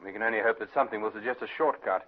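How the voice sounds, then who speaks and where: An actor playing a shrewd British private detective in a radio drama, measured, analytical and authoritative. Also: male voice, on the low side, lightly acted. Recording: narrow-band vintage radio recording.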